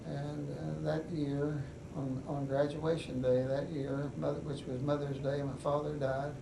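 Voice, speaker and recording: An older man with a West Virginian accent recalls his life slowly, pausing often. His voice is gravelly and fairly high, with little rise and fall. He sounds set back from the microphone in an echoey room with steady background noise. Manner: unhurried, halting, many pauses and fillers. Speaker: veteran interviewee. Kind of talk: oral history recollection. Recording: echoey room, mic at a distance, noisy.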